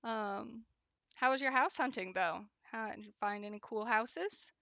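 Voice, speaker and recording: A woman's voice: fairly high pitch, measured pace, vocal fry, bright, forceful tone, no room sound. A young woman streaming her crochet work, chatting casually with viewers and laughing often. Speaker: craft streamer. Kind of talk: casual chat while crocheting and reading chat.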